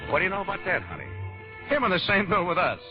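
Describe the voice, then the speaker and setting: A man's voice: sits high, rapid-fire, soft background music, full character voice. A man playing an easygoing, enthusiastic vaudeville comedian father in an old-time radio comedy drama, with a folksy manner. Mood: excited. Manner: folksy, animated, genial. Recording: muffled old radio broadcast recording.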